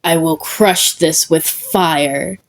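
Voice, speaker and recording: A woman's voice: sits low, steady tone, slow, pitched below the performer's own voice. A woman voicing an explosive, grumpy teenage boy in a fan story reading. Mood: intense.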